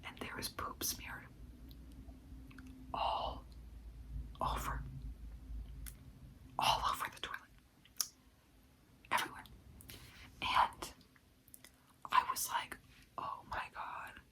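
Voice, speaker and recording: A woman's voice: slow, long pauses, bright, forceful tone. A young woman who works a part-time food service job, whispering a story and venting with lots of "like" fillers. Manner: whispering throughout, frequent "like" fillers; exasperated venting.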